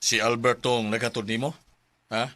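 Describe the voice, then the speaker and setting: Radio drama father played by a man: stern, middle-aged, forceful tone, Cebuano accent. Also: mid-range voice, level tone, moderate pace, lightly acted. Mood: stern, demanding. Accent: Filipino, Cebuano. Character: stern, demanding middle-aged father questioning his daughter. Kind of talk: Filipino radio drama acting.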